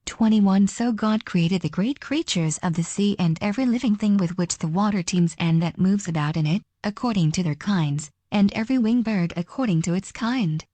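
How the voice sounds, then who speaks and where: A woman with a mellow, dark-toned voice reads scripture aloud. Her delivery is measured and formal, and the recording is very dry with no room sound. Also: mid-range voice, no echo. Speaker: reader.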